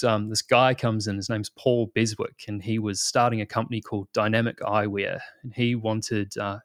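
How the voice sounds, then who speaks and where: A man in his thirties with a New Zealand accent talks as an interview guest in a loose, rambling way, with lots of fillers and restarts. His voice sits fairly low. The recording is dry and close, with no room sound. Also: dry recording. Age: 30s. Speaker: guest, product manager. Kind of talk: casual interview talk.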